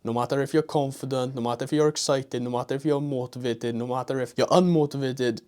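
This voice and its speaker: A man's voice: medium pitch, fairly even intonation, fast, a little mellow. A young man with a Welsh accent, giving motivational online business advice straight to the viewer, pushy and encouraging. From Wales.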